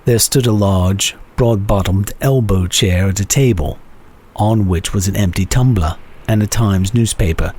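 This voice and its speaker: A man giving a measured, theatrical dramatic reading of a classic short story as its narrator. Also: fairly low pitch, a little mellow, husky. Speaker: narrator, reader.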